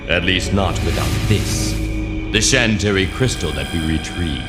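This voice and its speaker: A man's voice: deep voice, measured pace, loud music behind the voice. A man acting a scheming older wizard mentor in a video game, speaking with silky, commanding drama. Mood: contemptuous, scheming.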